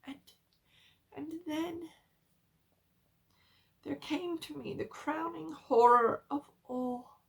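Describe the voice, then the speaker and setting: A woman narrating a horror story as a dramatic reading, theatrical, with halting pauses for effect. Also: high-pitched, gravelly.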